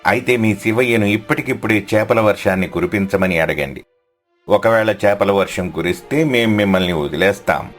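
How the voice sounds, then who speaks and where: A man's voice: fairly low pitch, a little fast, faint music behind, lightly acted. A man playing a haughty, bullying village fisherman in scripted story dialogue. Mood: challenging.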